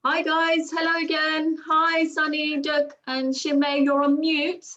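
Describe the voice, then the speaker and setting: A woman moderates a video call in a bright, slightly raised voice, cheerful and polite with plenty of ums. The sound is dull and muffled, like compressed call audio. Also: high voice, completely flat delivery, a little slow. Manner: upbeat, polite, with many ums and thank-yous. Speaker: host of school information session.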